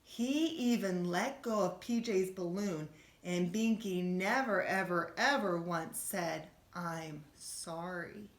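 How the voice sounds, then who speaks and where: A woman reading a children's storybook aloud like a teacher, expressively, with gentle questions to her young listeners. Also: medium pitch, slow. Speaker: schoolteacher reading a bedtime story. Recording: roomy, echoey room sound.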